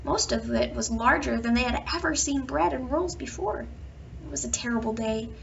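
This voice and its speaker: A woman reading a children's picture book aloud, with expressive emphasis and drawn-out words in a storytelling manner. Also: a little high, creaky. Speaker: children's bedtime story reader. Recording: dull, distant home recording with background noise.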